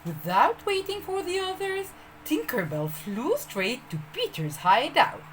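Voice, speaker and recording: A woman telling a children's story as host, expressive and speaking directly to young listeners. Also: sits high, measured pace, slight background noise, low-quality recording.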